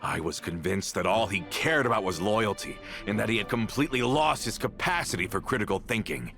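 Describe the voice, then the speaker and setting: Gravelly, bitter, vengeful villain. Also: male voice, fairly low pitch, lively intonation, a little fast, fairly bright tone, soft background music. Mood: bitter brooding. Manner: menacing, theatrical, accusing. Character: bitter vengeful male villain, former gang member. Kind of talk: video game voice acting.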